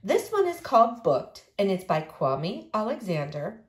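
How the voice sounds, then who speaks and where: Female read-aloud reader, mid-range pitch, expressive delivery of story and poetry. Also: measured pace.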